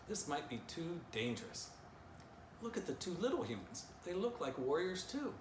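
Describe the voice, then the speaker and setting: A man's voice: on the high side, sing-song, normal speed, pitched above the performer's own voice. A man voicing a wary, incredulous dog in a storybook reading, doubtful and given to exclaiming. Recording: roomy echo, clear background noise.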